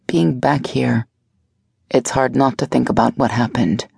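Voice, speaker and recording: A woman reading an audiobook voices a protective, guilt-ridden woman who speaks low and quietly. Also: steady tone, unhurried, gravelly, husky, dry recording, clean studio sound, full character voice. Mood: subdued.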